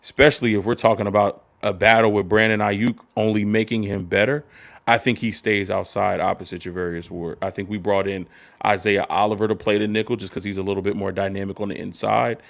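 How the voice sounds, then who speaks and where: A man co-hosting a casual sports talk livestream, measured and analytical, on a desk microphone. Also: low voice, level tone, creaky, a bit bright. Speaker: sports show co-host.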